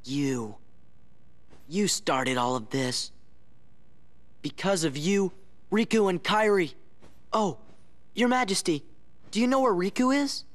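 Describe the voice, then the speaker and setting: A man's voice: high voice, animated, slow pace, pauses often. A young man voicing a spirited, impatient teenage boy hero in a video game cutscene. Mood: worried.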